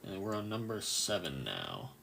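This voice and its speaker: A man with a croaky, just-woken-up voice runs a casual live quiz for anatomy students, reading answer options and cheering on viewers' replies. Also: sits low, wildly swooping pitch, moderate pace, pushed and bright, a little hiss or hum. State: just woke up, a bit tired. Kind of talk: casual livestream quiz review.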